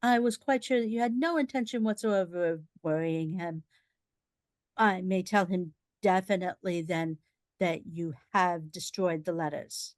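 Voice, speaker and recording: A woman playing a shifty gentleman fixer with formal, ingratiating politeness in a radio play. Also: lowish voice, fairly even intonation, a little slow, no echo, lightly acted.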